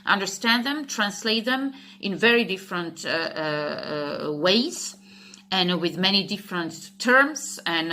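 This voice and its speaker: A woman with a Slovak accent, a native Slovak speaker, talking casually to camera as an English teacher, halting and restarting as she searches for words. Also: mid-range voice, sharp tone, slight background noise.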